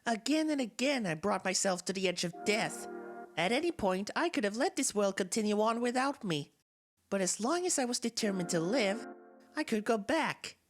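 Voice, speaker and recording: Soulless talking flower for a game fan dub, played by a woman: theatrical, weary yet menacing, animated delivery over soft music. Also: medium pitch, moderate pace, lightly acted. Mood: wistful, confiding. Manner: confiding, theatrical, swinging between wistful and menacing. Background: game soundtrack music.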